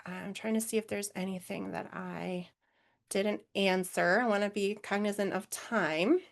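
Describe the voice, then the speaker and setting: A woman's voice: medium pitch, steady tone, unhurried, gravelly. A woman with a Minnesotan accent presenting a webinar over a video call, answering questions in a chatty way with many fillers. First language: English.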